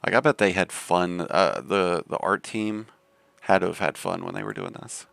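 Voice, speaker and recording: Man, Southern accent, deep low voice, gravelly, laid-back rambling streamer. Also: sing-song, normal speed, a bit bright, dry recording. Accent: Southern American. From Southern United States. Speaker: game streamer. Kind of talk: casual live stream commentary.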